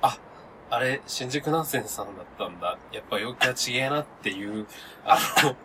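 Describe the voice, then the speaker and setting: A man's voice: mid-range voice, animated, moderate pace. A man in his thirties co-hosting a casual chat show, speaking politely and hesitantly. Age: 30s. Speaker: podcast host. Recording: clearly noisy room recording.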